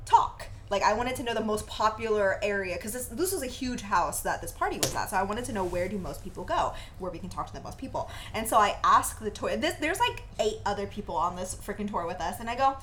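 A young woman whose first language is Vietnamese, co-hosting a podcast and telling a story in a giggly, animated way. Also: mid-range voice, fairly quick, few pauses, a little hiss or hum. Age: young adult. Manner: giggly, animated, laughs often. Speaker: podcast co-host. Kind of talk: casual storytelling chat.

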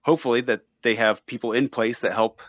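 A man's voice: medium pitch, steady tone, brisk, fairly bright tone, dry recording, muffled recording. A man speaking as a guest in a casual discussion, easygoing and thinking aloud.

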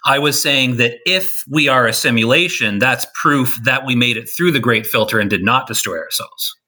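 A man's voice: mid-range voice, normal speed, pushed and bright. A man in a casual podcast discussion, explaining his ideas at length with some restarts. He is losing his voice.

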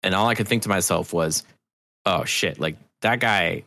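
A man's voice: deep voice, normal speed, gravelly, bright, forceful tone. An American man chatting as a podcast guest, telling anecdotes in an emphatic, opinionated way with frequent swearing. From New Hampshire, United States. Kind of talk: casual, opinionated interview chat.

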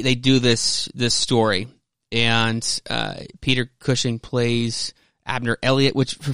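A man gives casual podcast commentary in a middle-pitched voice. He rambles, hesitates and interrupts himself, with loose, profane phrasing. The recording is dry, with hardly any room sound. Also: a bit bright, clean tone, dry recording. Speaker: podcast host.

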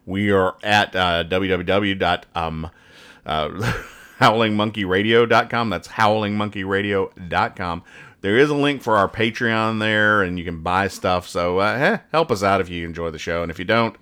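A man's voice: on the low side, moderate pace, fairly bright tone, clear, resonant tone. A man hosting casual banter as he reads out comic strips, with a rambling, joking, mock-serious manner. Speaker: podcast host.

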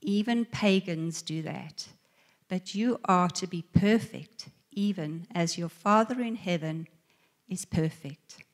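A woman reading a Bible passage aloud in church in a measured way. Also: on the low side. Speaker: scripture reader.

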